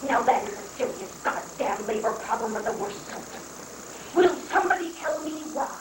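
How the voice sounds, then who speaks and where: A woman's voice: a little high, expressive, moderate pace, lightly acted. A woman playing a blustering, whiny president with a cowboy streak in an amateur dramatic reading of a satire. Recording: noisy, roomy live reading from far off.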